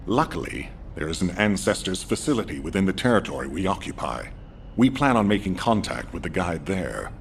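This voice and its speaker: An actor playing a stern, wary middle-aged commander in a video game, measured and authoritative. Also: male voice, deep voice, sing-song, vocal fry, slight background noise, heavily acted. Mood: grave, wary. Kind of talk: scripted video game acting.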